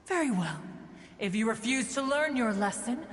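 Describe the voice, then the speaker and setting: A woman's voice: on the high side, lively intonation, moderate pace, a little hiss or hum, natural voice. A woman voicing a haughty, ancient immortal bird spirit in a video game, speaking in a formal, archaic, imperious way. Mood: indignant. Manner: imperious, formal, archaic, refers to self as one. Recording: long hall-like reverb.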